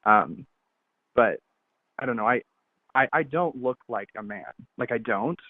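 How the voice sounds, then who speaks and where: A caller with a masculine-sounding voice, speaking haltingly with frequent restarts while confiding on a phone-in. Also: medium pitch, expressive, dry recording. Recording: tinny phone line.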